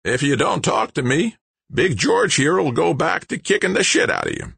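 Raspy, scrawny ancient old-timer. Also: male voice, a little high, very animated, normal speed, no room sound, pitched above the performer's own voice, exaggerated voice. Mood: sharp, commanding. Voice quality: raspy, grinding, like sandpaper. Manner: folksy, sharp-tongued, drawling. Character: tiny ancient scrawny old man, wry boss of squatters.

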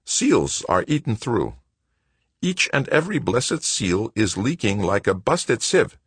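Man voicing gruff, high-pitched cursing lunar worker. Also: very animated, takes it slowly, a bit bright, no echo, full character voice. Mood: exasperated. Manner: methodical cursing, blue-collar speech. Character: Wojo, gruff cursing male lunar worker, exasperated, salty-tongued. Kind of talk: audiobook character voice.

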